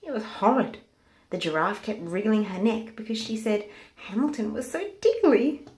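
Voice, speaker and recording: A woman's voice: medium pitch, very animated, normal speed, a little mellow. A woman narrating a picture book aloud for children in a storytelling style.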